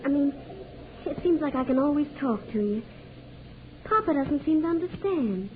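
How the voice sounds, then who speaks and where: A soft, earnest, weary girl of eighteen; high voice, tinny old broadcast. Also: animated, moderate pace, slight background noise. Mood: tender. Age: young adult. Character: weary tender-hearted young woman, eighteen. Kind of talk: 1940s radio acting. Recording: lo-fi 1940s radio broadcast.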